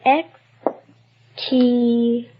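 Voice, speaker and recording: Secretive little kid girl, high voice, eager n offhand, old lo-fi radio play. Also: little pitch movement, very slow, very noisy. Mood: absorbed, offhand. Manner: eager, offhand, childish slang. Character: earnest, secretive young girl, absorbed in play. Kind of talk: old radio drama acting. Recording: dull lo-fi old radio recording.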